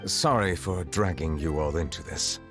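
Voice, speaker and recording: An actor playing a stately, aloof judge who speaks in a measured, formal way, with game music behind. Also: male voice, sits low, natural voice. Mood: apologetic. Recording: game audio with background music.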